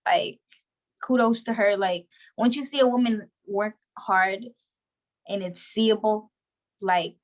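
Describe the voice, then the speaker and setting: A young woman chats freely as an interview guest, her voice sitting at a middle pitch. She talks loosely and slangily, filling her sentences with "like" and easy laughter. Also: fairly even intonation, takes it slowly. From Trinidadian background. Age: young adult. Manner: chatty, slangy, full of "like" and laughs. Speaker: guest, show creator. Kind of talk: casual interview.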